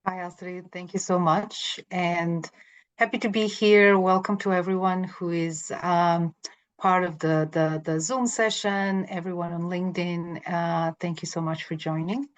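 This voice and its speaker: A woman from Croatia, a company director, introducing herself on a video call in a friendly, halting way with many fillers. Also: fairly low pitch, fairly even intonation. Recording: dull lo-fi call audio.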